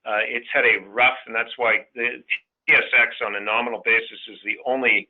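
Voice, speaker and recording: A man giving off-the-cuff financial commentary as a portfolio manager on a conference call, in a halting way. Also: medium pitch, flat delivery. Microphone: telephone conference line. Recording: thin phone-like conference line.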